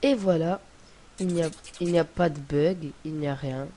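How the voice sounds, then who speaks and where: A young man with a cold, talking through a casual screen-recorded software tutorial in a rambling, hesitant way. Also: a little high, normal speed. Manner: rambling, hesitant, many fillers and restarts. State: has a cold. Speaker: tutorial presenter. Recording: faint hiss on home recording.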